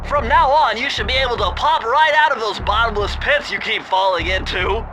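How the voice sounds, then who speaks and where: A man playing a nerdy, excitable, brainy turtle sidekick in a cartoon video game, talking in a precise, wordy way. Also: extremely high pitch, normal speed, dull audio. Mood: excited, proud. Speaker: mission guide giving tutorial instructions. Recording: music behind the voice.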